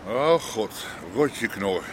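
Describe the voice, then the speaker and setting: Blunt underworld boss, lively pitch. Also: male voice, drawn-out words. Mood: grumbling, offhand. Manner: blunt, colloquial. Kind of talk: naturalistic Dutch radio drama acting. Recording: noisy ambience behind.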